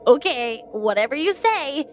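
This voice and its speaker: A very high, animated young female assassin for an audio drama. She is boastful, bouncy and playful, with excitable, swooping delivery. Faint music sits behind the voice. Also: takes it slowly, heavily acted. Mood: playful, cocky. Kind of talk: audio drama character acting.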